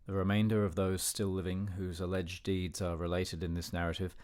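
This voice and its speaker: An actor plays an older, educated gentleman narrating a period radio drama in a low voice. He is measured and formal, with a dignified, censorious air. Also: little pitch movement, lightly acted. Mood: measured, reflective. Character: disapproving, educated older man narrating a memoir.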